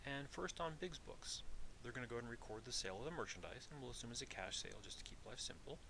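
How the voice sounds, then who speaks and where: A man giving an explanatory lecture as an instructor, methodical and deliberate, restating his points. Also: medium pitch, expressive, background noise. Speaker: accounting instructor.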